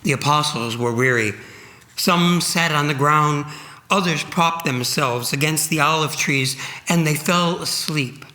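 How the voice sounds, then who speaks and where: Slow, bright-voiced man in solemn narration. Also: fairly high pitch. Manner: measured, with deliberate pauses. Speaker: reader at a church Good Friday service. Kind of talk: solemn dramatic scripture narration.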